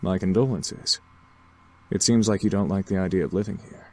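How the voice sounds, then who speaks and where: Low-voiced courteous demon gentleman. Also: fairly even intonation. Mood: gently inquiring. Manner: formal, courteous, measured. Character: polite, serious, attentive young gentleman demon butler type. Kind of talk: visual novel character acting.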